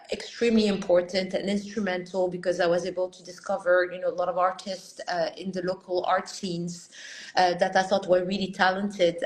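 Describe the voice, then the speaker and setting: A woman's voice: lowish voice, echoey room, low-quality recording. A woman in her fifties from Morocco, a guest telling her life story in a reflective interview, with many "you know" fillers. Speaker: art fair founder, guest. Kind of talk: conversational interview.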